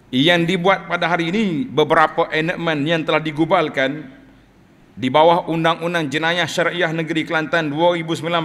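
A middle-aged man with a Malaysian Malay accent giving a declamatory Friday sermon from a mosque pulpit, slowing down to stress key words. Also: on the high side, a bit bright. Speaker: Friday preacher. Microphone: two pulpit microphones on stands. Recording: long reverb of a large mosque hall.

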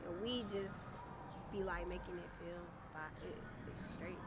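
Soft-spoken young woman rapper outdoors. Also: lowish voice, steady tone, measured pace. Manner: soft-spoken, laid-back slang, frequent "you know what I'm saying". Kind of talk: casual interview. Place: outdoors by a parked car in daylight. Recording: outdoor street noise.

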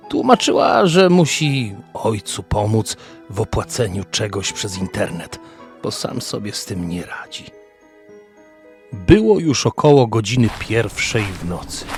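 A man narrating a scripted fantasy story in a measured, dramatic way. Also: fairly low pitch, a little mellow. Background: music bed.

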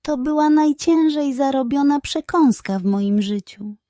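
A woman's voice: sits high, measured pace, dark tone, dry recording, voice pushed up. Woman voicing a cocky little scheming pug. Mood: sighing. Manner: squeals and mumbles when cornered.

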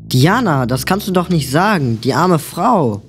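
A woman's voice: deep voice, sing-song, a little slow, a little hiss or hum, full character voice. A female performer playing a serious, worried boy on the run in an audio drama. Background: music.

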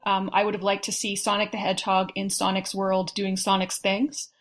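Woman making measured points in discussion. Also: mid-range voice, completely flat delivery, a bit bright. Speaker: panel guest. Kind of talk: casual film discussion.

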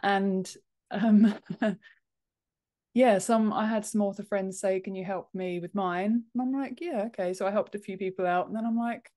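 A woman's voice: medium pitch, steady tone, normal speed, dark tone. A woman in her thirties with an English accent, chatting informally and modestly as a podcast guest on a video call. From Britain. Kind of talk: casual interview chat. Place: home office. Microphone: wired earphones on a video call.

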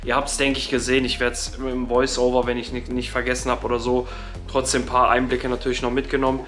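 A man's voice: mid-range voice, completely flat delivery, fast talker, fairly bright tone, soft background music. A man in his thirties hosting a casual vlog, talking slangy and straight to viewers into a phone held at arm's length. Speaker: vlogger host. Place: arena crowd, then hotel room.

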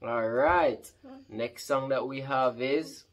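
A man's voice: mid-range voice, takes it slowly, music in the background. A man in his thirties hosting a children's read-along in an encouraging way, speaking to viewers and a child at home. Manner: encouraging, praising. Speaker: parent-style host of a children's story time channel. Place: living room, indoors on a couch.

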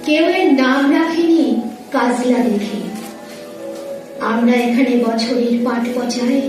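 High-voiced woman reciting poetry, heavy echo. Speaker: recitation performer. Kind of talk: slow dramatic poetry recitation. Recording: very echoey, distant, music behind. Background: music.

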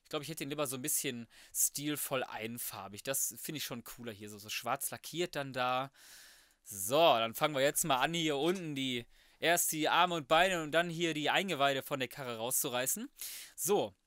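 A man's voice: mid-range voice, moderate pace, fairly bright tone, no room sound, studio quality. A man giving casual let's play commentary as a gaming host, talking to himself in flippant, colloquial slang. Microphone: close microphone, voice-over.

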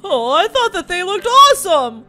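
A woman acts out a goofy, good-natured wolf dad from a children's game story. The delivery is hammy and drawn out, very high and bright for a father, swinging widely in pitch. Music runs behind. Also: very animated, moderate pace, exaggerated voice. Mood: mildly offended. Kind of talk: children's Roblox roleplay story.

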